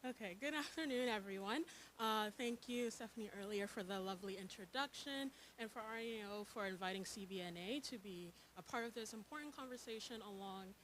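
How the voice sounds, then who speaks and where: A woman with a soft voice, a nursing lecturer presenting at a panel, earnest and dotted with ums and uhs. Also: mid-range voice, brisk, a little hiss or hum, poor audio. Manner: earnest, with frequent um and uh fillers.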